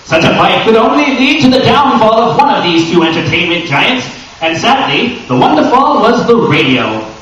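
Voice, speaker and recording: A man voicing a grave, dramatic 1960s radio announcer with slow theatrical delivery, as live narration. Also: high voice, big pitch swings, a bit bright, pitched above the performer's own voice. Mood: solemn, dramatic. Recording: echoey, dull, noisy room from far off.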